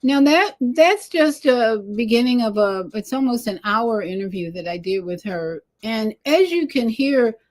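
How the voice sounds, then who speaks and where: A woman's voice: a little high, expressive, a little slow, few pauses, a little mellow. A woman hosting a talk show, speaking informally and earnestly to her viewers in an encouraging, conversational way. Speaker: show host. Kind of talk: informal monologue to viewers and interview.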